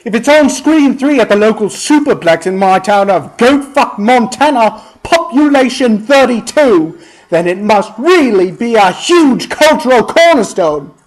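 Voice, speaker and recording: A man's voice: extremely high pitch, very animated, unhurried, rough recording. A man delivering a scripted, profane comedic rant in an exasperated, emphatic and scornful way, drawing out words theatrically.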